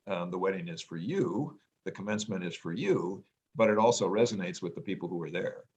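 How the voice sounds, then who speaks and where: A middle-aged man with a very deep, low voice talks through his thoughts in a relaxed family conversation. He is loud and reflective, and he often stops to restart a sentence or fill a gap with "um.". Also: fairly quick. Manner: hesitant, many ums and restarts, reflective; says he has a loud voice. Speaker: podcast co-host, parent.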